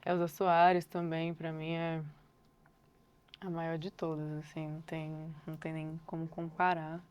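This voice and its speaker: A young Brazilian woman, a singer-songwriter, answering interview questions thoughtfully and unhurriedly, often pausing on filler words. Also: low-pitched, completely flat delivery, a little mellow, a little hiss or hum. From Brasília, Brazil.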